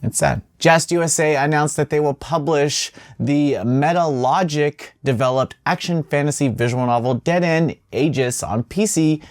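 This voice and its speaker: A man's voice: on the high side, normal speed, hardly any pauses, no echo, studio quality. A man hosting video game news in an informal, wry commentary style.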